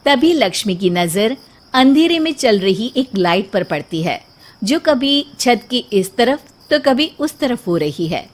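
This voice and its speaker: A woman's voice: fairly high pitch, sing-song, measured pace. A woman narrating a scripted story as the storyteller.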